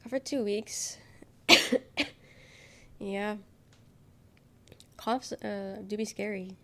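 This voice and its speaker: A woman's voice: medium pitch, fairly even intonation, extremely slow, a little mellow, slight background noise. A young woman livestreamer chatting casually with viewers, her voice nasal and worn from being sick, rambling as she reads chat aloud. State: sick, congested voice.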